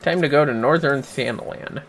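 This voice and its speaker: A man's voice: medium pitch, normal speed, fairly bright tone, a little hiss or hum. A man streaming a game, chatting casually. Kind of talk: casual livestream chat while gaming.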